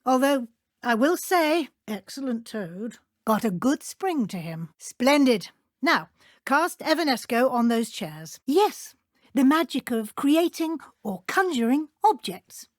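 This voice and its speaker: Game role: British schoolmistress witch, high-pitched, formal and precise, caring but brisk, recorded very dry. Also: very animated, no echo, full character voice. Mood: pleased. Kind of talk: scripted video game character dialogue.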